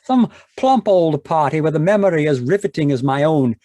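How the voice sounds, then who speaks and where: Man playing a high-voiced, florid boozy ex-doctor. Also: expressive, normal speed, warm tone, dry recording, lightly acted. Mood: tipsy, grandiloquent. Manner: florid, rambling, mock-dignified. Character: boozy ex-doctor sidekick, grandiloquent, genial, philosophical drunk. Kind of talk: 1940s radio drama acting. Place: live remote video-call performance.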